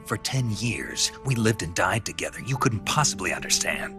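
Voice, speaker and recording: A man with an American accent voicing a gruff, stoic special-forces soldier in a video game, gravelly, terse and guarded. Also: on the low side, sing-song, normal speed. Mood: guarded, solemn. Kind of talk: video game cutscene voice acting. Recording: music behind the voice.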